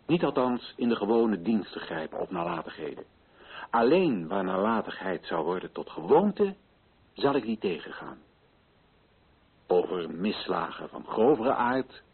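An actor playing an earnest, righteous colonial official, speaking in formal, declamatory radio drama style with solemn rhetorical weight. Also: male voice, on the low side, breathy edge, exaggerated voice. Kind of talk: theatrical radio drama oratory. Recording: muffled lo-fi old radio recording.

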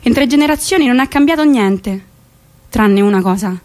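A woman's voice: fairly high pitch, animated, normal speed, darkish tone, natural voice. An actress playing a young woman who tells her story in a reflective, defiant theatrical monologue. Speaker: stage actress. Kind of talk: theatrical monologue with acted dialogue.

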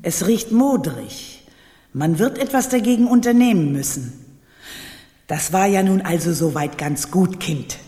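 A woman's voice: on the low side, lively intonation, measured pace, warm tone. An actress playing a bossy, scheming old witch of a royal grandmother in a radio play, imperious and scolding. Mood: sly, scolding. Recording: long reverberant hall.

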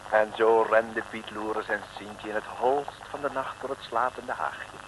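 A man's voice: fairly low pitch, steady tone, a little slow. A man narrating a scripted 1960s radio comedy serial with theatrical, rhythmic storytelling. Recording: noisy old radio recording.

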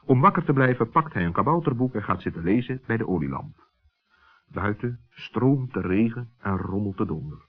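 A man's voice: lowish voice, expressive, normal speed. A man narrating a scripted children's story as the narrator of an old radio play. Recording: muffled old radio recording, faint music.

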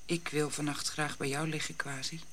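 An actress playing a tender, sorrowful African mother of a young boy in a radio drama, gentle and pleading. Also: sits low, flat delivery, normal speed, slight background noise.

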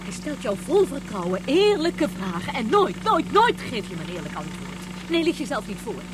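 High, animated, scolding woman. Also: moderate pace, background noise. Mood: indignant. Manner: emphatic, repetitive reproaches. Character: indignant, scolding woman reproaching a father. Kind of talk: Dutch science-fiction radio play acting.

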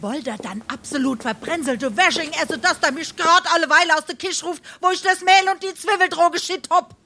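An actress playing a fretful, scolding middle-aged housewife and mother in a dialect comedy, excitable and fussing, with a Darmstadt accent. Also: very high-pitched, brisk, full character voice. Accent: Hessian, Darmstadt dialect.

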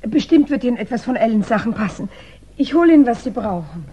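A woman's voice: fairly high pitch, lively intonation, fast talker, mellow, slightly rough. An actress playing a worried, solicitous woman sheltering a friend in a 1960s German radio play. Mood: caring, insistent. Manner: solicitous, concerned, polite. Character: anxious, caring woman sheltering a fugitive friend. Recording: slightly noisy 1960s radio recording.

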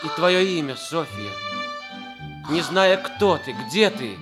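A gallant young lieutenant in a vintage radio comedy. He speaks ardently and earnestly, his pitch rising and falling widely. Music can be heard clearly under him. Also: expressive, slow. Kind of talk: 1960s radio theatre acting.

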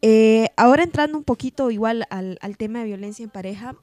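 A woman's voice: fairly high pitch, a little fast, darkish tone. A woman giving informal radio commentary, hesitant, often checking in with tag questions. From provincial town. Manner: hesitant, frequent "¿no?" tags. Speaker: psychologist and radio announcer.

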